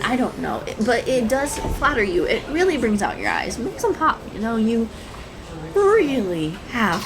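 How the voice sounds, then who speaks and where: A woman's voice: mid-range voice, low-quality recording. A woman playing a shy, anxious young woman in an audio roleplay, rambling nervously and self-consciously to a stranger. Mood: eager, bashful. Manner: nervous, hesitant, rambling, self-deprecating, giggly. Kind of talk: intimate audio roleplay addressed to the listener. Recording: coffee shop chatter behind voice. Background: coffee shop crowd sound effects.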